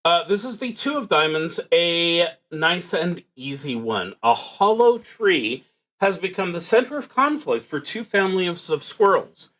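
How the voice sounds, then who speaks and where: Guy streaming a solo tabletop game, really slow and halting, thinking out loud, pitch goes up and down a lot. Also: sing-song, poor audio. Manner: halting, deliberate, with long pauses mid-sentence. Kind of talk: casual game livestream narration, thinking aloud.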